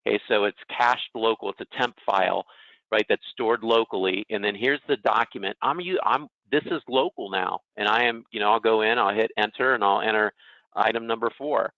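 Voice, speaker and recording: A man presenting an informal software webinar in a conversational way, often checking in with a quick okay or right. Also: on the low side, no room sound, highs cut off. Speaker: presenter, legal technology consultant.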